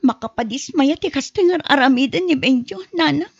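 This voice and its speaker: A weary young wife acted by a woman in a radio drama, with a very high, plaintive voice. She sounds fed up, on a dry, slightly dull recording. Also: expressive, normal speed, no echo. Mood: dismayed, weary. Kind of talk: Ilocano radio drama acting. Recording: muffled dry close recording.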